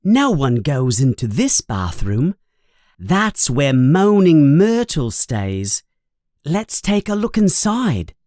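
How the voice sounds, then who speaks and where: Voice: medium pitch, big pitch swings, slow, darkish tone, dry recording, clean studio sound, voice pushed up. A narrator voicing an earnest, bossy, inquisitive schoolgirl in a storybook, with an emphatic, questioning manner. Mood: reassuring. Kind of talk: storybook character voice.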